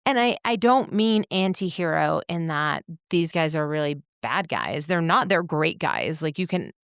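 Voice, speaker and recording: A woman co-hosting a podcast, talking reflectively in an earnest, deliberate way with emphatic phrasing and pauses. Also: deep voice, very animated, gravelly, smooth, dry recording, clean studio sound. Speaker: podcast co-host.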